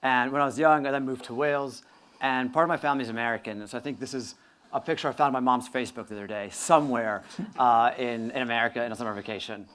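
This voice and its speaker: A man's voice: medium pitch, steady tone, quick pace, a bit bright, clean tone. A man who grew up in northern Italy giving a conference talk, telling a personal story fluently and earnestly. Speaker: conference speaker. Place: conference stage before an audience.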